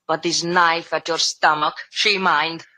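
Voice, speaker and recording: A woman's voice: low voice, fairly even intonation, unhurried, pushed and bright. An actress playing a jealous, dangerous foreign barroom woman who taunts in broken English, in old radio drama style. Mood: cold, menacing. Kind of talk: 1950s radio drama acting.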